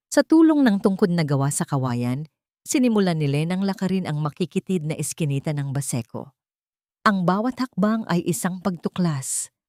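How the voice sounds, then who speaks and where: A woman narrates a scripted dramatic story in measured, emotive short phrases. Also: fairly low pitch, darkish tone, no echo, studio quality.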